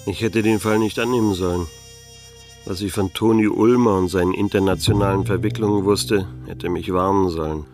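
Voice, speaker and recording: Noir radio drama: weary middle-aged male detective played by an actor, low register, laconic wit, music underneath. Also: steady tone, moderate pace, a little mellow, lightly acted. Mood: wry, brooding. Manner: laconic, dry, sardonic. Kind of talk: noir radio play narration and dialogue.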